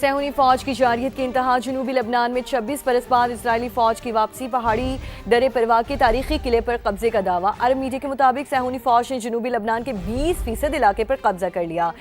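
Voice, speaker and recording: Female Pakistani TV news anchor, high register, emphatic scripted read over a light music bed. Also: fairly quick, hardly any pauses.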